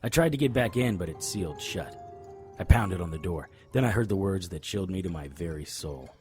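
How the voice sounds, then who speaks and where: Man acting a deep-voiced hardboiled detective. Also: lively intonation, fairly quick, darkish tone, soft background music. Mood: alarmed, grim. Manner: terse, hardboiled, serious. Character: tough, determined male private investigator narrating his story. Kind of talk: first-person audio drama narration and dialogue.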